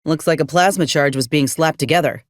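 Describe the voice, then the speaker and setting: A woman's voice: sits low, fairly quick, dry recording, close to an everyday voice. An actress voicing a plain-spoken, loyal young woman fighter in a video game, with a folksy, wry manner. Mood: wry, matter-of-fact. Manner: folksy, dry-witted. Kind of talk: video game companion voice lines.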